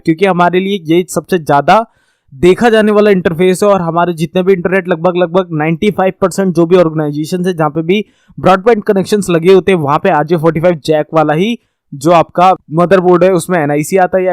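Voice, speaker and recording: A man's voice: high-pitched, dry recording. An Indian man giving an informal instructional lesson, explaining step by step, repeating points and checking in with learners. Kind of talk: explanatory tech lesson with slides, addressing learners.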